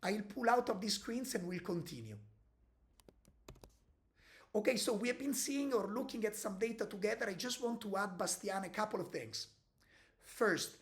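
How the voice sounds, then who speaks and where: A man walks through market news in an easy, conversational way. He co-hosts a podcast and often checks in with little tags like "no?" or "okay?" as he explains. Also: sits high. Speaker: podcast co-host. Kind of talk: casual market commentary.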